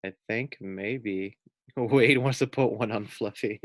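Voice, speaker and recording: A man reading a children's storybook aloud like a teacher, pausing for casual asides and questions to his young listeners. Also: on the low side, a bit bright, no echo, studio quality.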